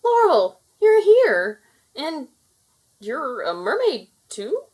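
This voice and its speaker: A woman voicing a kind, caring young mermaid princess from a storybook, gentle and expressive. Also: high voice, takes it slowly, pitched above the performer's own voice, heavily acted. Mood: astonished.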